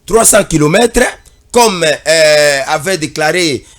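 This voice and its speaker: Male political commentator, Congolese accent, high register, emphatic and wordy delivery. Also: animated, slow. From Democratic Republic of the Congo.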